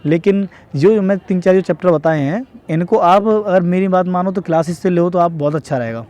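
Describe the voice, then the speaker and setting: A man's voice: sits high, fairly quick, darkish tone. A young man from northern India giving casual exam advice to viewers, explaining repetitively and often checking that they follow. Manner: repetitive, explanatory, often adds tag questions seeking agreement. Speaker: exam preparation advice vlogger.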